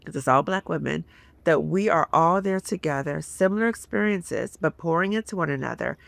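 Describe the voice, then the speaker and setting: A woman in her fifties speaks with a gravelly, fry-touched voice, close to the mic in a dry room. She explains things earnestly and sometimes acts out people's worried thoughts. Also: low-pitched, lively intonation, fairly quick, no room sound. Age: 50s. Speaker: guest psychologist. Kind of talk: casual podcast discussion.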